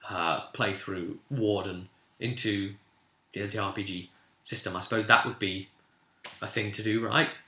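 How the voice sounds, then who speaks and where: A man with a bright, forceful voice of medium pitch recaps a tabletop fantasy campaign as game master. He tells it informally and with animation, slipping in casual asides. The recording has an echoey room sound.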